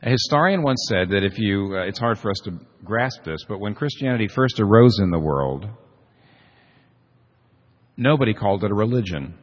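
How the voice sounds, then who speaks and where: A man preaching a sermon with a hoarse voice and an emphatic delivery that builds to sweeping declarations. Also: fairly low pitch, expressive, normal speed, big-room sound, dull audio. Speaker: pastor.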